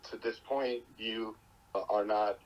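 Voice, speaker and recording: Very deep-voiced man on muffled call. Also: steady tone, takes it slowly. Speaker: business partner on a phone call. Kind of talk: phone negotiation. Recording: dull phone call, faint noise.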